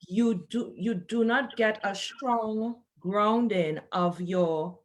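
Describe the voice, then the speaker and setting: Slow-speaking woman. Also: medium pitch, a bit bright. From Trinidad. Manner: hesitant, thoughtful, many filler pauses. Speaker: debate participant. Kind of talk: discussion argument.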